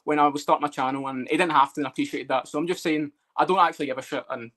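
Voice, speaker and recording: Scottish man, young adult, fast talker, fairly high voice, casual sweary banter. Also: fairly even intonation, fairly bright tone. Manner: swears freely, teasing. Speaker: stream guest. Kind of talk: casual chat.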